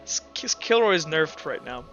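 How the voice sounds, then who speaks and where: Bright, higher-voiced man, casual game master. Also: sing-song, moderate pace, dull audio, soft background music. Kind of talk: casual tabletop roleplay narration.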